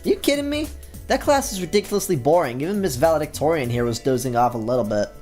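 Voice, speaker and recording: A man voicing a loud, cocky teenage schoolgirl who loves spicy food. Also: on the high side, expressive, quick pace, soft background music, close to an everyday voice. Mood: teasing.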